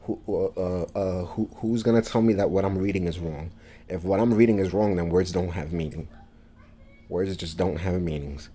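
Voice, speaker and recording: New man, very low voice, dark mellow tone, halting, lots of fillers. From New York, USA. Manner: halting, many fillers and restarts. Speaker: vlogger. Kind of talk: casual monologue to camera.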